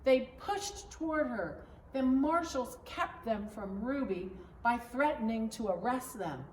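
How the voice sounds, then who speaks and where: A woman reading a children's story aloud in a measured, expressive storytelling manner, recorded at a distance in an echoing room. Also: on the high side. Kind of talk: children's picture-book read-aloud. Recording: roomy echo, distant mic, background noise.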